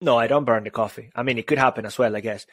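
A man's voice: mid-range voice, very fast, no room sound, clean studio sound. A man from Venezuela, a public speaking coach, talking in an emphatic, motivational way on a casual podcast.

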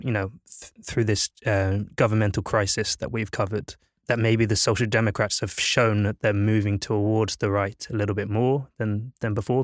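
A man's voice: lowish voice, no room sound, studio quality. A man hosting a podcast, asking questions in a loose, conversational way with frequent fillers. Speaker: podcast host. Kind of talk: podcast discussion.

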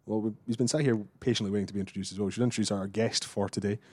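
A man hosting a casual sports podcast, brisk and upbeat. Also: on the low side, steady tone, a little mellow, no room sound. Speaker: podcast host.

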